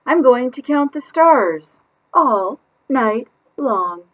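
A woman voicing a stubborn, defiant little girl from a picture book, emphatic and drawn-out in a sing-song way. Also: high-pitched, expressive, muffled recording, pitched above the performer's own voice, full character voice. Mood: gleeful, determined.

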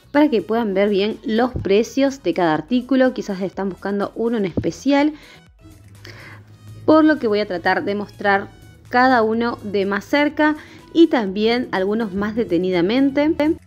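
A woman's voice: on the high side, a little mellow. Woman, dull lo-fi voice-over. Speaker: vlogger. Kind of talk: shopping haul voice-over narration. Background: background music.

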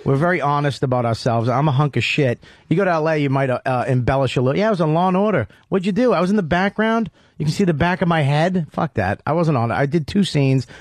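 A man with a New York accent hosting a radio show, riffing loudly and profanely as a comedian. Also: fairly high pitch, expressive, fast talker, no echo, clean studio sound. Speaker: host. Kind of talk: raunchy comedy radio banter.

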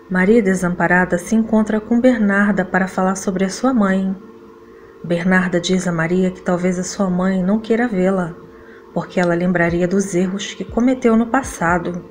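A woman's voice: medium pitch, a little mellow. A woman with a Brazilian accent reading a scripted soap opera recap as narrator.

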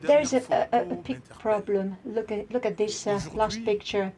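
This is a woman working as a simultaneous interpreter at a conference. Her voice sits at an ordinary middle pitch for a woman. She delivers the speech piece by piece, halting and repeating words as she renders it live. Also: steady tone, crowd noise.

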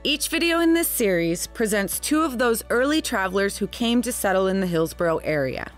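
Expressive woman narrating a history series. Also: mid-range voice, brisk, faint music behind. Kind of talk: scripted narration. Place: voice-over.

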